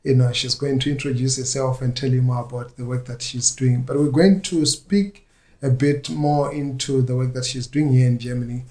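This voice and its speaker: A man's voice: mid-range voice, fairly even intonation, moderate pace, darkish tone. A man hosting a conversational radio interview. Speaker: radio show host.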